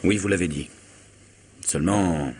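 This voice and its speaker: An actor playing a wary, guarded man facing his blackmailer in a radio mystery, speaking in a measured, formal way. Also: deep voice, a little mellow, slightly rough. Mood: guarded disbelief. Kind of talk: French radio mystery drama acting.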